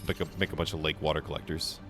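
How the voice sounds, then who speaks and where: A young man with a hoarse voice, chatting casually as he plays a game for his channel, rambling with frequent restarts. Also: a bass voice, little pitch movement, rapid-fire, music in the background. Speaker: gaming channel host. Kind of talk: casual gameplay commentary.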